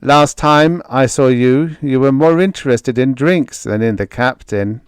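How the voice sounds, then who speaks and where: A man's voice: mid-range voice, measured pace, clean tone, no room sound, studio quality, pitched above the performer's own voice, lightly acted. A man reading an audiobook, voicing a taunting crewman on a space freighter in an offhand, needling manner. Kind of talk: audiobook character voice.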